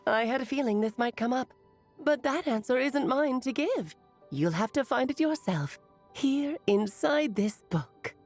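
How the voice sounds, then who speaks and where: A woman's voice: high-pitched, animated, measured pace, darkish tone, faint music behind. A woman voicing a playful, whimsical sorceress aunt in a video game, with a theatrical, airy and teasing manner. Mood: coy, teasing.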